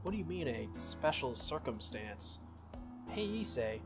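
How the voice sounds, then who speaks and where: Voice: mid-range voice, very animated, a little slow, music in the background. A game player voicing an easygoing teenage schoolboy who asks questions in a casual, hesitant way. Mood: curious, puzzled.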